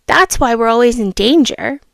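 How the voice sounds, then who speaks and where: A woman's voice: on the high side, lively intonation, normal speed, vocal fry, no echo, studio quality. A woman voicing an earnest young storybook mouse explaining a plan.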